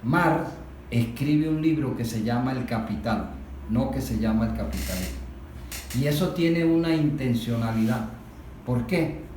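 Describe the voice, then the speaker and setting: A Venezuelan man in a political discussion, explaining things didactically and deliberately, with emphatic points and rhetorical questions. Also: mid-range voice, expressive, darkish tone. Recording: very echoey room, distant mic, faint noise.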